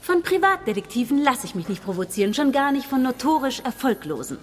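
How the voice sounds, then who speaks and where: Brusque policewoman, high, animated voice. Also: brisk, slight background noise. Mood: scornful, irritated. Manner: curt, stern, deadpan. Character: brusque, officious policewoman, bossy and sarcastic. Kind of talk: German-language detective radio play acting.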